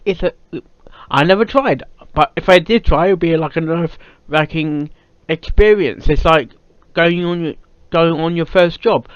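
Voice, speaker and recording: A British man giving casual off-the-cuff reaction commentary, often halting and repeating his words and phrases as he goes. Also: a little high, no echo. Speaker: reaction video host. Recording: dull lo-fi microphone sound.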